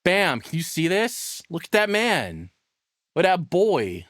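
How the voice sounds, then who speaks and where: A man's voice: a little high, huge pitch swings, slow pace, a bit bright, no echo, clean studio sound. A tired man casually commentating on a live stream as he reacts to a video, crude, profane and self-deprecating.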